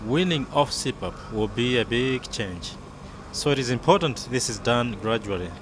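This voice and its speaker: A man narrating a scripted medical training film in a measured, step-by-step way. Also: mid-range voice, expressive. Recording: faint background noise.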